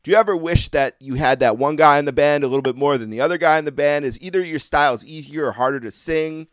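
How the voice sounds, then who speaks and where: A man's voice: on the high side, fast, a bit bright, clear, resonant tone, no echo. A man hosting a casual interview, chatty and asking long winding questions. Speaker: podcast host.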